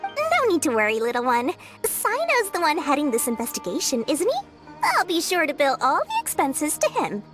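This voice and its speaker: A woman voicing a greedy, cheerful young female merchant with a coaxing sales-pitch manner in a video game. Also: huge pitch swings, normal speed, over music. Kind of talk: game voice acting.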